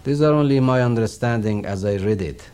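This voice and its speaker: A middle-aged man with a Persian accent teaches and explains scripture in a slow, measured, reverent manner. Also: medium pitch, dark tone, smooth. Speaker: Quran teacher. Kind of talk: recorded religious lecture.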